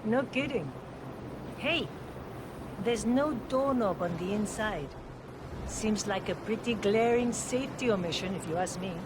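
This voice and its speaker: Game tour guest, female actor: blunt, scornful woman, mid-pitched and sardonic, over clear background rumble. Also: expressive, measured pace, natural voice. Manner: sardonic, dismissive. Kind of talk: scripted video game dialogue. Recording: clear ambient rumble behind.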